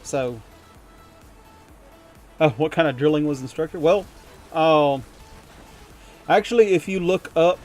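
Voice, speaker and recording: A man's voice: fairly high pitch, measured pace, pauses often, darkish tone, faint music behind. A man from the United States chatting with his viewers while gaming, rambling and chuckling and poking fun at himself. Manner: rambling, chuckling, self-deprecating. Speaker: streamer.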